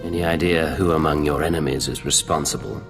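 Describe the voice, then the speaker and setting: A cool terse imperial agent in a game, really deep voice, long reverb. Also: male voice, fairly even intonation, brisk, music in the background. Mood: watchful. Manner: terse, composed. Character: cool, guarded male imperial intelligence agent. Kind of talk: video game dialogue acting.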